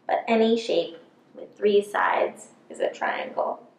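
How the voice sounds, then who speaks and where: A woman voicing eager, clever little mice from a children's storybook, with emphatic, drawn-out words. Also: medium pitch. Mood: explaining. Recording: roomy indoor echo.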